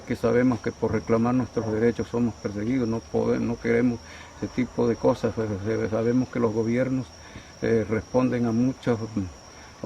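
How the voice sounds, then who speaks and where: Earnest guy asking for justice, gravelly dark voice, mic far off. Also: on the low side, slight background noise. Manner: earnest, searching for words. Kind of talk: testimonial interview. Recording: distant mic with faint outdoor ambience.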